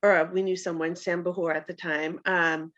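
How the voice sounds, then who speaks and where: Dull lo-fi call audio, woman, slightly low voice, hesitant, casual, informal remarks. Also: little pitch movement, moderate pace, fairly bright tone. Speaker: volunteer speaker at a nonprofit's online celebration. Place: online video call.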